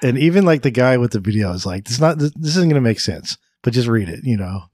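A young man co-hosting a podcast in casual chat, speaking in a deliberate, low-key way with pauses between thoughts, a little congested and raspy from a recent illness. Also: animated, a little mellow, no room sound, clean studio sound. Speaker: podcast co-host.

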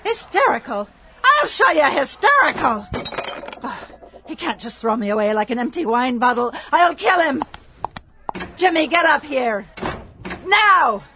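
Melodramatic scorned mistress, swooping voice. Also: female voice, high-pitched, sing-song, a little slow, pauses often, background noise, heavily acted. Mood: furious, vengeful. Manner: melodramatic, sarcastic. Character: spurned actress mistress, theatrical, hot-tempered and vengeful. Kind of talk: audio drama acting.

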